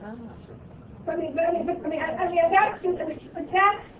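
A woman in her sixties with a high voice keeps restarting as she makes a point. She sounds distant and roomy in a dull, noisy recording. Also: expressive. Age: 60s. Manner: halting, with many restarts and fillers. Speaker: discussion participant. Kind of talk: group discussion. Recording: echoey distant dull mic with noise.

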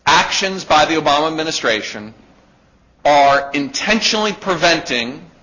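A man with a loud voice giving a live talk to an audience, emphatic and peppering his points with "right?". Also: on the high side. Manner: emphatic, with rhetorical "right?" checks. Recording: dull lo-fi recording.

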